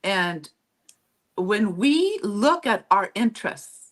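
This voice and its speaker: A woman whose first language is Low German, giving reflective, conversational answers as an interview guest. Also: big pitch swings, takes it slowly, a bit bright. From Mexico, then Texas from childhood.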